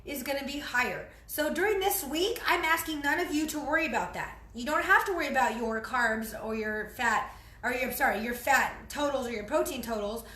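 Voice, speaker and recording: A hoarse woman with a high, bright voice chats loosely through a home cooking video as a fitness trainer. She rambles and clears her throat now and then, and the kitchen sounds echoey around her. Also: brisk. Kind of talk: casual cooking vlog to followers.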